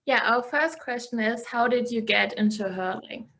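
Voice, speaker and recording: Young woman, from Germany, slightly high, slow, brief, distant. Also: a bit bright. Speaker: exchange student asking questions.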